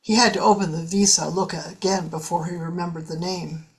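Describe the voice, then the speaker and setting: Woman, story narrator, scripted audiobook delivery, fairly low pitch, science fiction drama. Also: fairly even intonation, brisk, breathy edge, low-quality recording.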